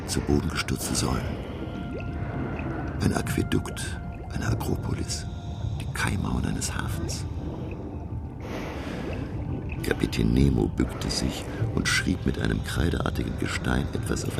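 An actor playing a learned professor who narrates his undersea adventure in a radio play, deliberate and full of wonder. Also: a bass voice, vocal fry, loud music behind the voice, heavily acted. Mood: hushed awe. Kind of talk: dramatic first-person radio play narration.

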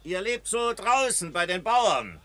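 A man's voice: high-pitched, very animated, unhurried, fairly bright tone, exaggerated voice. An actor playing a proud, snobbish cavalry soldier in a radio drama, teasing his comrades. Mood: haughty. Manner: haughty, teasing.

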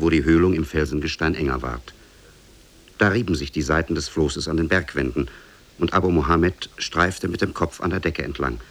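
A man narrating a fairy tale for 1950s German radio in a measured, solemn storytelling manner. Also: deep voice, a bit bright.

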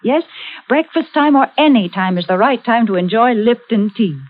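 A woman announcer delivers an old-time radio commercial. Her voice is fairly high and very animated, with salesy emphasis. The sound is narrow and tinny. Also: moderate pace. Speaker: sponsor announcer.

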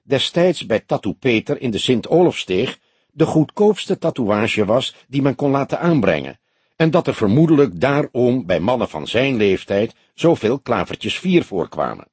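A man narrates a crime audiobook with expressive, lively intonation. His voice is slightly husky, and the recording is dry and close, with no room sound. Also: mid-range voice, moderate pace, no room sound. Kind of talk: scripted crime-novel narration.

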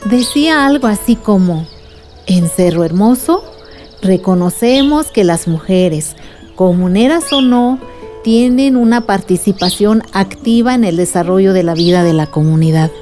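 An actress plays a patient village woman in a radio drama, recalling the struggle in a reflective way. Her voice is mellow and mid-pitched, with earnest, explanatory delivery over light music. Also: expressive, unhurried, close to an everyday voice. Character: adult village woman, patient storyteller and activist. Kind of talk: radio drama dialogue and narration.

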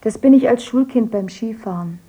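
A woman's voice: a little high, normal speed, mellow, faint music behind, natural voice. An actress playing a polite, matter-of-fact young woman in a radio play.

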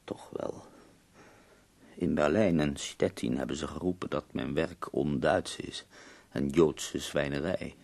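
A very low, creaky male voice plays an ailing nineteenth-century poet in a radio drama. He is husky and formal, choosing his words deliberately, with bitter, wry irony. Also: steady tone, a little mellow, exaggerated voice. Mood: shaken, grave. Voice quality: gravelly, husky. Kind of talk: Dutch radio play acting.

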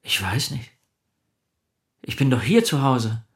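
An actor playing a lonely, withdrawn immigrant shopkeeper in a radio play, answering tersely, hesitantly and defensively. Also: male voice, mid-range voice, big pitch swings, takes it slowly, breathy edge, full character voice. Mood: reserved, sad. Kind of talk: naturalistic German radio play acting.

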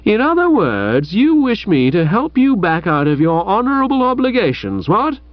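A man's voice: fairly high pitch, very animated, takes it slowly, no room sound. A man voicing an indignant upper-class young Englishman who huffs and declaims in moral outrage. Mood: righteously indignant. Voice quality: huffy, declamatory, snorting. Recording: dull muffled recording.

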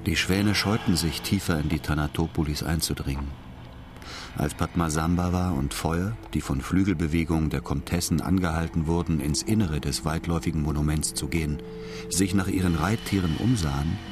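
A man reading scripted literary narration for a radio play in a measured, solemn manner. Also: extremely low pitch, music in the background.

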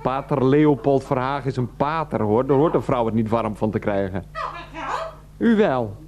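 An actor playing a henpecked grown son in a radio play, placating and halting as he talks to his mother. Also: mid-range voice, lively intonation, a little mellow, slight background noise, natural voice. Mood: indignant, deferential.

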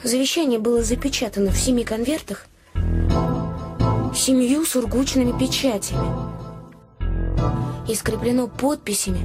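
An actress playing an anxious, kind-hearted young innkeeper's daughter in an audio play, confiding and earnest, telling things with naive expressiveness. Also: female voice, on the high side, close to an everyday voice. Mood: hushed wonder. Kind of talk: Russian audio play storytelling.

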